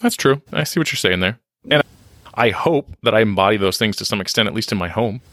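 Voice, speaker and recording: A man's voice: lowish voice, animated, fairly quick, a bit bright, dry recording, clean studio sound. A man talking candidly in a podcast interview, reflective and self-deprecating, often restarting his sentences. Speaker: podcast guest on leadership and success.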